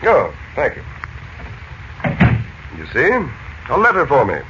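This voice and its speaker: An American actor playing a calm, confident middle-aged mystic hero and kindly uncle in a 1940s radio serial, speaking in a measured, reassuring way. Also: male voice, medium pitch, heavily acted. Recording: muffled, noisy late-1940s radio recording.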